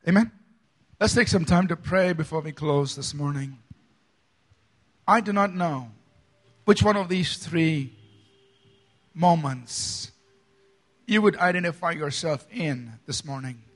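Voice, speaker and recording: A man from India, a pastor preaching a sermon with dramatic swings between loud emphasis and soft asides. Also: on the high side, slow pace, long pauses.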